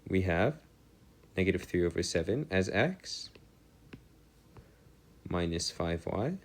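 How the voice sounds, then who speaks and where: Slow-talking man tutoring, pitch swinging widely. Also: animated. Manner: hesitant, self-checking. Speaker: maths tutor. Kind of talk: maths lesson, working through equations aloud.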